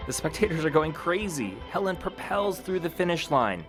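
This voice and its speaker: A man's voice: a little high, sing-song, moderate pace, over music. A man hosting a history podcast, reading scripted narration with dramatic, playful storytelling. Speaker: podcast host.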